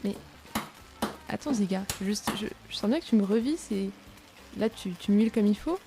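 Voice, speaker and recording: A woman playing a caring, frank robot in an audio drama, conversational and gently probing. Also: mid-range voice, expressive, moderate pace, noisy background, natural voice. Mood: uneasy, curious. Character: caring, frank female robot being oiled and serviced. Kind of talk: French audio drama dialogue.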